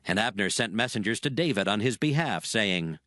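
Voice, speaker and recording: A man narrating dramatized scripture as a scripted reading, in a solemn, measured manner. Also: on the low side, lively intonation, bright, forceful tone, no room sound, clean studio sound. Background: background music.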